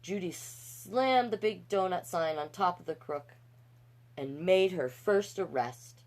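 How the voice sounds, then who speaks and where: Low-voiced woman, folksy storytime host. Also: slow, a little mellow. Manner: folksy, chatty, encouraging. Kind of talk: reading picture books aloud with casual asides to viewers.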